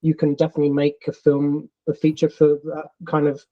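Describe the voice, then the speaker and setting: A man's voice: a little high, monotone, a little fast, lo-fi sound. A young adult man with a London accent, a filmmaker answering interview questions with many ums and restarts. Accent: London English. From England. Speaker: filmmaker guest.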